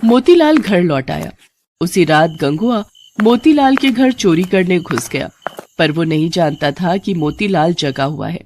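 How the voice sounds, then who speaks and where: A woman's voice: on the low side, lively intonation, measured pace, darkish tone, a little hiss or hum. Woman narrating a scripted moral tale. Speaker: story narrator.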